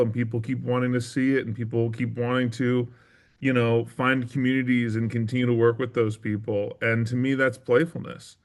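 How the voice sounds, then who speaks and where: Male interview guest, theatre maker. Thoughtful, philosophical delivery on a flat, narrow pitch, hesitant as he builds each idea. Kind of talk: reflective interview talk.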